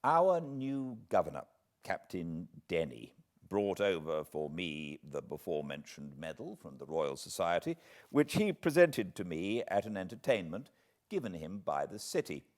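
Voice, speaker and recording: A British man reading a classic book aloud as an audiobook narrator, with measured, theatrical phrasing. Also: sits low, sing-song, darkish tone. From Britain. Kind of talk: expressive literary audiobook reading.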